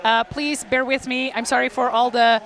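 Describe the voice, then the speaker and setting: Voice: mid-range voice, level tone, normal speed, a bit bright, slight background noise. Roller derby commentator. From Belgium. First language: Dutch. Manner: chatty, self-deprecating, laughs often. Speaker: play-by-play commentator. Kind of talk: live sports commentary.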